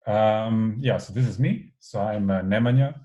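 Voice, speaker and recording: A man's voice: on the low side, moderate pace, mellow. A man from Serbia in his thirties giving a guest lecture online in a casual, rambling way with many fillers.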